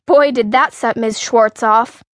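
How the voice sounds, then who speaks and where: A woman's voice: on the high side, steady tone, unhurried, a bit bright, no room sound, clean studio sound. A woman reading a children's story in the first person, as an indignant, outspoken sixth-grade girl. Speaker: audiobook narrator.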